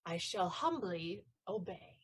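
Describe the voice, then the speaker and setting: A woman's voice: lowish voice, lively intonation, slow pace, slightly rough, exaggerated voice. A woman acting an eager, lovestruck adolescent page boy in an audio play, earnest and dramatic.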